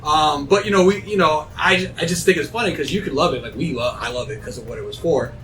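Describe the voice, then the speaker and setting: Male panel host, slightly high voice, very quick and rambling, music behind, mic at a distance. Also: fairly bright tone. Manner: rambling, acts out imagined dialogue. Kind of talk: casual chat about video game films.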